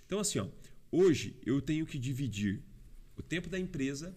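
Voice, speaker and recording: A man's voice: a little high, sing-song, normal speed, dark tone. A middle-aged man, a trucking business owner, answering interview questions in an earnest, explanatory way with frequent fillers. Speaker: trucking company owner, guest. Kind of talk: casual interview chat.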